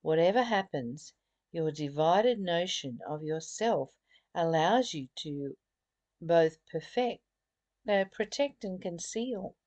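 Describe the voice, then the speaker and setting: A woman giving a reflective spiritual lesson, reading and explaining in deliberate, emphatic phrases with pauses and repetition. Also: fairly low pitch, a little mellow, no room sound. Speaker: spiritual study teacher.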